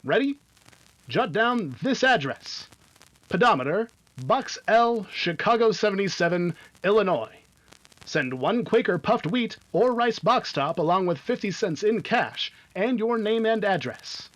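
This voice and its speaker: A man acting an eager, emphatic 1950s radio announcer who pitches to children with clear enunciation. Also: expressive, measured pace, a bit bright. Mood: eager, selling. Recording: dull, lo-fi radio sound.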